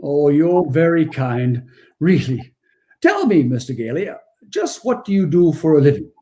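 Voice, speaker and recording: A man acting a kindly, merry old Santa Claus in a 1940s-style radio play, with gentle, courtly manners. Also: on the high side, animated, normal speed, natural voice. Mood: gently probing.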